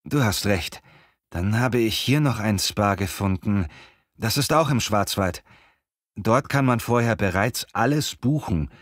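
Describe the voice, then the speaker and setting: A man playing a friendly young apprentice in a scripted language-learning dialogue, speaking clearly and in a measured way. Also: fairly low pitch, lively intonation, no room sound, studio quality. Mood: informative. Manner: clear, measured, textbook delivery.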